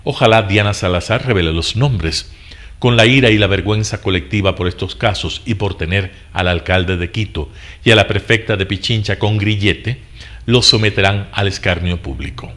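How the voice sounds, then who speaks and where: A man delivering a scripted news editorial in a formal, measured manner, posing rhetorical questions as a commentator. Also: fairly low pitch, a bit bright.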